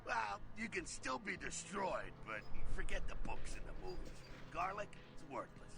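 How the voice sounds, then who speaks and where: Brash gravelly male vampire mentor, wildly swinging pitch, salty video game character over noisy ambience. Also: big pitch swings, moderate pace, exaggerated voice. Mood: cocky, mocking. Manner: salty, swaggering, theatrical, punchy fragments. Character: brash, wild older male vampire mentor, gleefully streetwise.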